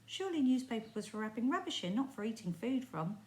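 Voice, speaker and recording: A woman teacher reading a chapter of a book aloud in a steady, expressive storytelling voice. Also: on the high side, quick pace. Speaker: primary school teacher. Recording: roomy echo.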